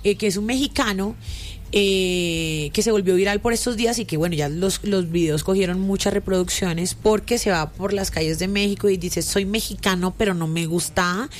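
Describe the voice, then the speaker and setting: A woman from Colombia hosting a casual radio talk show, lively and teasing as she reads out listener comments. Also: mid-range voice, normal speed, a little hiss or hum.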